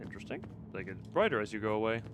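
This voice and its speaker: A man doing live gameplay commentary, thinking out loud and often repeating and drawing out his phrases as he muses to himself. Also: on the low side, sing-song, racing, music in the background. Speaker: video game streamer.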